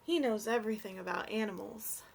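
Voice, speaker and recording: A woman voicing a small, curious, wistful girl in a storybook, speaking in a gentle, earnest way. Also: medium pitch, a little fast, creaky, voice pushed down.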